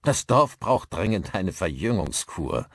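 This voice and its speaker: A man acts out a hearty village pub landlord for an audiobook. The voice is jovial and welcoming, swinging widely in pitch, and sounds close and dry. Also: big pitch swings, unhurried, husky, dry recording, heavily acted. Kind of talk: audiobook character dialogue.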